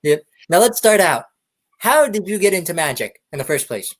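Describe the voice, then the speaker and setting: A man's voice: sits high, sing-song, moderate pace. A man hosting a podcast interview in a casual, chatty style, repeating short affirming phrases.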